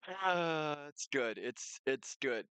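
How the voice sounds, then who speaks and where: A man's voice: on the high side, lively intonation, slow pace, bright, forceful tone, smooth, dry recording. A man hosting a game stream with casual, playful chat, often breaking off and restarting his sentences. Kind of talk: casual gaming livestream chat, reading game text aloud.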